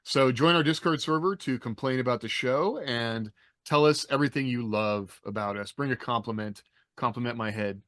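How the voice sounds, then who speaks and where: A man's voice: mid-range voice, moderate pace, a bit bright, dry recording, clean studio sound. A middle-aged man hosting a podcast in a casual panel style. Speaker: podcast host. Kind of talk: casual panel talk with rehearsed introductions.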